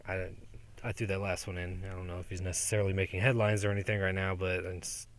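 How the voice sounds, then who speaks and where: A man's voice: sits low, flat delivery, fast talker. A young man rambling sarcastically and profanely in a casual outdoor monologue as a podcast host. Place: outdoors in daylight.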